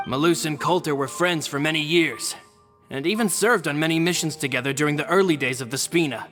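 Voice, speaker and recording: A man's voice: on the high side, fairly quick, lightly acted. An actor playing a courteous, sympathetic male gang comrade in an English video game dub. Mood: somber sympathy. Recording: reverberant, with faint music underneath.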